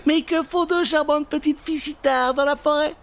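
A man voicing a sly, falsely kind old woman with a sugary, drawn-out delivery in a children's Halloween tale. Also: very high-pitched, fairly even intonation, gravelly, a bit bright, voice pushed up, exaggerated voice.

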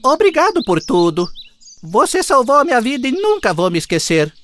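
A woman voicing a carefree, lazy grasshopper musician in a cartoon fable, proud but humbled, with an expressive, dramatic delivery. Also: high voice, moderate pace. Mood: remorseful, grateful. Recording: music behind the voice.

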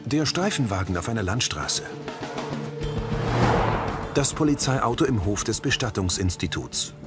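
A man reading scripted audio description of scenes in a measured way. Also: fairly low pitch, animated, loud music behind the voice.

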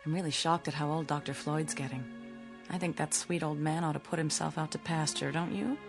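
Flirty, breezy movie star, lowish voice. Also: female voice, steady tone, fairly quick, music in the background. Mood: breezy, dismissive. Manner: chatty, theatrical, teasing. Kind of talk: modern radio drama acting.

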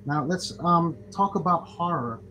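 A middle-aged man hosts an interview from his home office over a video call. His voice sits a little high and he sounds eager, pausing on ums between questions. Faint background hiss runs throughout. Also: bright, forceful tone, rough recording. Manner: enthusiastic, hesitant with ums. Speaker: interviewer, managing editor. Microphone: studio mic with earbuds on video call.

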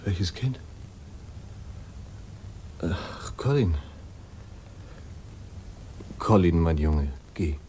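A grieving, weary father in an old radio play, speaking very slowly in a low voice. He is gentle and halting, on a rumbly, noisy recording. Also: lively intonation, heavily acted. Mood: weary, subdued. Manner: halting, subdued. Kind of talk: 1950s radio drama acting.

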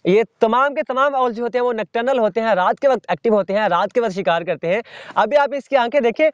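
A young man hosting a wildlife channel, explaining calmly to the viewer while sitting on open sandy ground outdoors. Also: very high-pitched, no echo, lo-fi sound. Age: young adult. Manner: measured, teacherly, addresses viewer directly.

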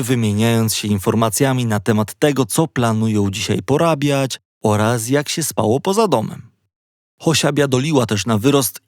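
A man narrating a scripted children's story with expressive storytelling delivery. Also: mid-range voice, no room sound, studio quality. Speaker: audiobook narrator.

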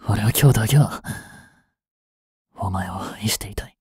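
A man playing a grieving young policeman in an audio drama, speaking in a slow, bitter, intimate murmur. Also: on the low side, darkish tone, slightly rough. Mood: anguished tenderness. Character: grieving young policeman mourning his criminal girlfriend, tender. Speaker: voice actor. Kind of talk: Japanese audio drama monologue. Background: music.

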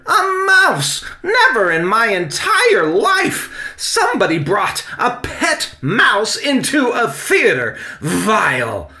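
Male reader as blustering woman manager, children's audiobook, high and forceful, indignant, shrieking, emphatic, echoey room. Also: full character voice. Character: outraged, fussy, blustering woman theater manager.

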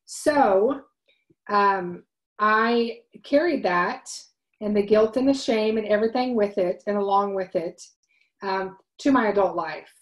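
A woman's voice: medium pitch, a little slow. Earnest woman telling a personal story. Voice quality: loud voice. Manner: earnest, many ums and restarts, talks with her hands. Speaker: host of a wellness livestream. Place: home room, indoors. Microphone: webcam at desk.

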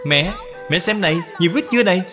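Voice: mid-range voice, expressive, quick pace, over music, natural voice. An actor voicing a polite, eager six-year-old boy, respectful and childlike, in a cartoon. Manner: respectful, bright-eyed, childlike.

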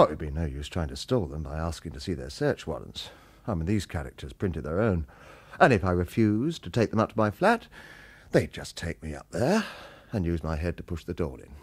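Radio thriller lead: wry, world-weary British ex-hitman, low register, very varied intonation. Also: darkish tone, slightly rough, full character voice. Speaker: lead actor and first-person narrator. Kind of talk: vintage radio crime drama acting.

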